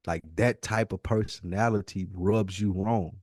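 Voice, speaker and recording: A man's voice: on the low side, fairly even intonation, unhurried, darkish tone, breathy edge, no room sound, studio quality. A man co-hosting a casual podcast, talking in slang and pressing his points with repetition. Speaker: podcast co-host.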